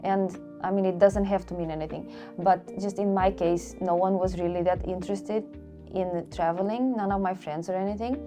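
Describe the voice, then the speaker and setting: A woman's voice: lowish voice, warm tone. A woman, speaking candidly in an interview. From Portugal. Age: late 20s. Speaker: interviewee, solo traveller.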